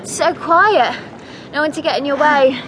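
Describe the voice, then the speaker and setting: A woman's voice: sits high, unhurried. An actress playing a chatty, nosy young woman out running, in a naturalistic radio drama. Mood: curious. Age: young adult. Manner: chatty, inquisitive. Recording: clear outdoor ambience noise behind.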